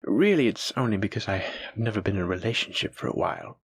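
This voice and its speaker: A man playing a doting, gently possessive dragon-blooded librarian, speaking tenderly and coaxingly to his sweetheart in an intimate audio roleplay. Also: fairly low pitch, expressive, quick pace, gravelly, husky, no echo, exaggerated voice. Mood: tender, reassuring. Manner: tender, coaxing, affectionate, reassuring. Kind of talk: intimate scripted audio roleplay addressed to the listener.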